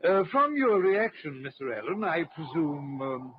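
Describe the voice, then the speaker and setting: A probing sea captain in old-time radio style, with a higher, animated voice and hesitant questions. The recording is muffled and noisy. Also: male voice, measured pace.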